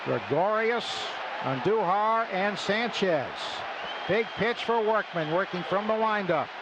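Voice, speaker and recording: A man calling a baseball game play by play on live radio in clipped, deliberate phrases. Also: high-pitched, expressive, a loud crowd. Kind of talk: live radio sports commentary with sponsor reads. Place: stadium broadcast booth. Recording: noisy live ballpark radio broadcast.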